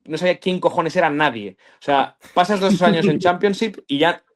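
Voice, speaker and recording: A man's voice: a little high, fairly quick. A man, chatting casually on a football podcast, rambling with slang and restarts. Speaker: podcast co-host.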